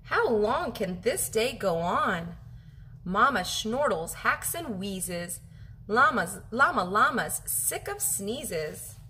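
A woman in her thirties reading a children's picture book aloud as a teacher, animated and expressive, drawing out her phrases for young listeners. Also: medium pitch, takes it slowly. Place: home kitchen, indoors.

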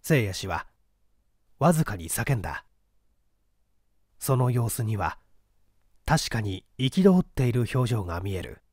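A man's voice: on the low side, very animated, measured pace, long pauses, a little mellow, slightly rough, dry recording, studio quality. A man narrating a literary story as an audiobook reader, in a scripted style.

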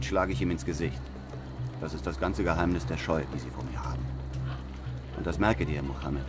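An actor playing a bold, self-assured adventurer hero who narrates his own exploits in a radio drama, measured and assured. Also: low voice. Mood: calm, firm. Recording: music behind the voice.

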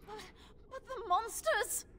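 Very high-pitched frightened young maid. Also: female voice, a bit bright, full character voice. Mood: anxious, urgent. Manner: breathless, halting, anxious pleading. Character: frightened young castle maid, timid and pleading. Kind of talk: fantasy video game acting. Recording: noisy game audio under stream. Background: game ambience.

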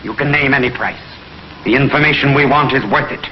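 An actor playing a gloating alien intelligence chief in a 1950s television sci-fi serial, pompous, theatrical and menacing. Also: male voice, medium pitch, fairly even intonation, brisk, close to an everyday voice. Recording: muffled, noisy, echoey 1950s TV soundtrack.

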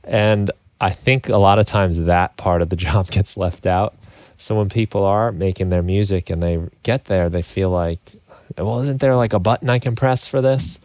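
A man hosting a music production podcast, chatting in a relaxed, conversational way over a video call from his home studio. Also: deep voice, normal speed, no echo. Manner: relaxed, conversational, chuckles while talking. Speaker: podcast host. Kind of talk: casual interview chat.

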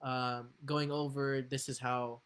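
A young man chats as a guest over a video call from home. He speaks in a relaxed way, leaning on filler words like "like" and "you know.". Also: medium pitch, slow pace, smooth. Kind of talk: casual chat. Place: home room.

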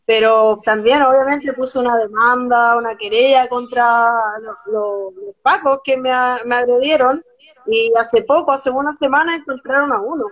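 A woman's voice: fairly high pitch, steady tone, fairly bright tone. Young Chilean woman on a lo-fi call. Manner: earnest, talks fast with many restarts. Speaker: guest, documentary photographer. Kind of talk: casual live-stream interview. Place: small room at home, indoors. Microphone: phone camera on a video call.

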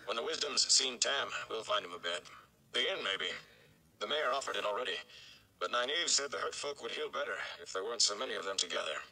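A man voicing a weary, sturdy village blacksmith in a fantasy audiobook, homespun and steady in manner. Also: mid-range voice, brisk, creaky, voice pushed up, heavily acted, low-quality recording. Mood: weary, matter-of-fact.

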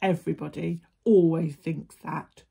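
Woman putting on a big booming gentle giant. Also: big pitch swings, slow, slightly rough, heavily acted. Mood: sad. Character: huge lonely misunderstood male giant, gentle at heart. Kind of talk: children's storybook character voice.

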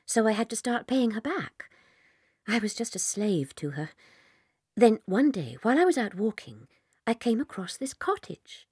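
A gentle young British schoolteacher from a children's audiobook drama. Her voice is breathy and expressive, careful and a little timid, in a dry studio sound. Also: fairly high pitch, normal speed, dry recording. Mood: troubled, confiding.

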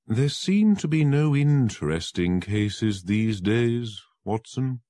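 A man voicing a self-assured male detective in a crisp, confident manner. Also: lowish voice, animated, slow pace, warm tone, no room sound, studio quality, pitched above the performer's own voice. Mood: brisk. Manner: crisp, confident, slightly lifted.

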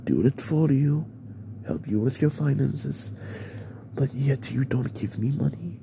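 A man playing a menacing, possessive mafia boss in an ASMR roleplay, in a breathy, half-whispered murmur with slow, deliberate pauses. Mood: cold, possessive. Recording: noisy, with steady background rumble.

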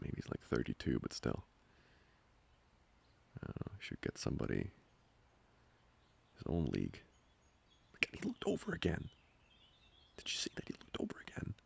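A man acts out a protective, easily riled young boyfriend in an improvised ASMR roleplay. His voice sits extremely low, thick with gravelly fry, and swings widely in pitch as he grumbles. The delivery is halting and sarcastic. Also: darkish tone, heavily acted. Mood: annoyed, grumbling, then indignant. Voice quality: very deep, gravelly, husky.

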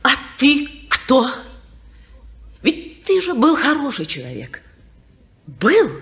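An actress playing a bitter, reproachful young wife in a 1950s radio play. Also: female voice, sits high, huge pitch swings, slow pace, fairly bright tone, heavily acted. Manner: anguished, reproachful. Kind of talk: 1950s radio theatre acting.

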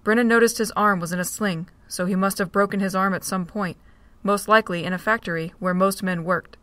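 A woman narrating a fan audio drama with a steady storytelling read. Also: medium pitch, brisk, no echo. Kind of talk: scripted narration.

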